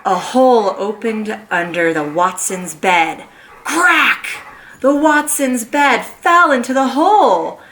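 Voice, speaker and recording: Slow, animated kindergarten teacher reading aloud. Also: female voice, on the high side, noisy background. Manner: animated, slow and expressive storytelling. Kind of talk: reading a children's book aloud. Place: at home.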